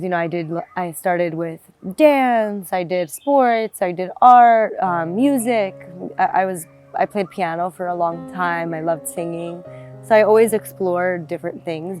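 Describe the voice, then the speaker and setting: Reflective young woman telling her story. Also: on the low side. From South Florida, United States. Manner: reflective, with frequent fillers and restarts. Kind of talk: personal storytelling interview. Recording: music playing behind the voice.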